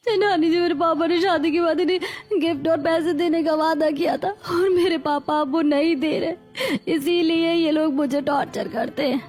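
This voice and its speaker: A woman playing a distressed, mistreated young daughter-in-law, pleading plaintively in a melodramatic animated story. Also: very high voice, normal speed, faint music behind. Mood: despairing.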